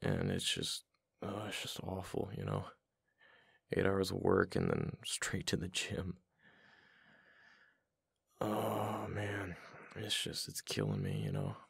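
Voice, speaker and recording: A man acting as a worn-out husband in an intimate boyfriend audio roleplay. His voice is very low and heavily gravelly, with a husky edge. He talks casually and haltingly, fond but a little exasperated. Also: big pitch swings, a bit bright, dry recording, clean studio sound, exaggerated voice. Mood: weary, complaining. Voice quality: deep, gravelly. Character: tired, affectionate husband, mildly exasperated, back from gym.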